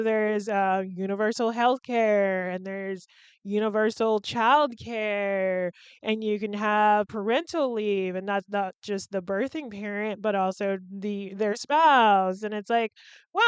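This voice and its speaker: A woman's voice: medium pitch, smooth, no room sound, highs cut off. A young woman hosting a solo podcast, chatting in a rambling, candid way with lots of "like" and some swearing. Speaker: podcast host.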